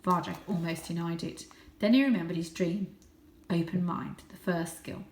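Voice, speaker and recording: A woman narrating a children's storybook in an expressive, dramatic way. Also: fairly low pitch, normal speed. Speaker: storybook reader, teacher reading to children. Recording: roomy, echoing indoor sound.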